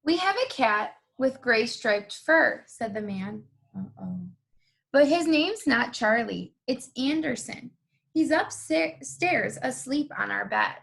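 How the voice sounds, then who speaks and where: Slow, creaky-voiced woman reading a storybook. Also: on the high side, lively intonation, fairly bright tone. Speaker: co-reader. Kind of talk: picture book read-aloud.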